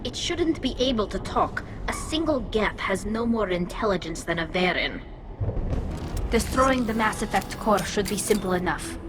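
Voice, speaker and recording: A woman voicing a cautious, earnest young female alien engineer in a video game, speaking in a measured, precise way. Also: mid-range voice, lively intonation, noisy background, natural voice. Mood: wary.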